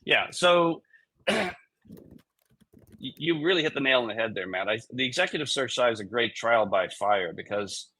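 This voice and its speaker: A man's voice: mid-range voice, lively intonation, normal speed, pushed and bright, no room sound. A man talking as a chief executive guest in a conversational, plain-spoken and emphatic way. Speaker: tech company CEO, interview guest. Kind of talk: conversational explanation and anecdote.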